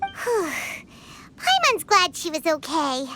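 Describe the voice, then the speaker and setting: A woman voicing a tiny, excitable, childlike fairy companion in a video game, chatty and exclamatory. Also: very high voice, drawn-out words, slight background noise, exaggerated voice. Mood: chatty, earnest. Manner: refers to self in third person, exclamatory. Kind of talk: video game character acting.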